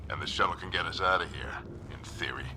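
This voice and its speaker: A low, gruff older commander played by a male actor in a video game. He is calm, terse and resolute, giving direction with authority over clearly audible background noise. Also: fast talker, natural voice. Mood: grim resolve. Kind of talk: video game cutscene acting.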